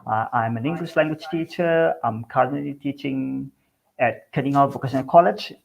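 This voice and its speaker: A man's voice: mid-range voice, moderate pace, vocal fry, dull audio. A man from Sabah, Malaysia, an English teacher giving a friendly webinar talk over a video call. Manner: friendly, plain presenting with small restarts. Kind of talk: online webinar presentation.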